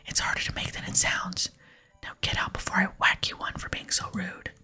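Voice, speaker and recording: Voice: fairly quick, sharp tone, faint music behind. A person voicing a proud, grumpy video game cook who scolds in a whisper. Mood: proud, bossy. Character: gruff, proud cook guarding the kitchen, quick to scold.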